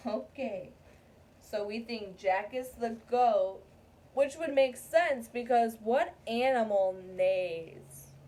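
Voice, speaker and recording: High-voiced woman teacher, recorded at a distance. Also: slow pace, dark tone. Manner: addresses young pupils, frequent checking questions like "okay?" and "right?". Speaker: teacher reading aloud to a class. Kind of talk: interactive children's story time, read-aloud with questions. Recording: distant mic, clear background room noise.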